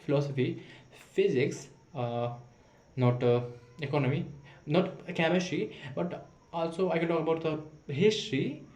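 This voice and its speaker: A man's voice: on the high side, normal speed, darkish tone. A young man from India, speaking English as an in an unscripted monologue to camera, full of fillers and restarts. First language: not English. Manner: hesitant, many fillers and restarts. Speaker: vlogger practicing spoken English. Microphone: camera mic. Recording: echoey room.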